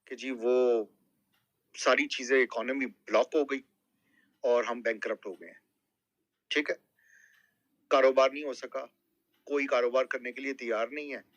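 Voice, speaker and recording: A man with a Pakistani accent in a live political discussion, speaking emphatically and deliberately, often checking that listeners agree. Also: medium pitch, pushed and bright, no echo. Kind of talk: impassioned political talk in a live group audio discussion.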